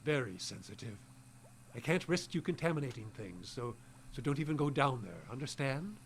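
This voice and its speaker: Actor as stern old scientist, swooping delivery. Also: male voice, medium pitch, expressive, normal speed, slight background noise, natural voice. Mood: stern, secretive. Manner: clipped imperious orders, muttering to himself. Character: eccentric old male scientist, secretive, stern, meat-loving. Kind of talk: live audio drama acting.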